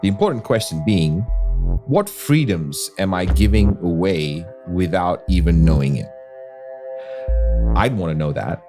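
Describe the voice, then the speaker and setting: An Australian man hosting a podcast, speaking earnestly and asking the listener pointed questions. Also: deep voice. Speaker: podcast host. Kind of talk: scripted podcast narration.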